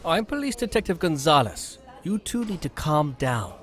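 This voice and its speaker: An actor in an audio drama playing a tough, shrewd police detective who speaks in an assertive, challenging manner. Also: male voice, a little high, sing-song, normal speed, a bit bright. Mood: stern, probing.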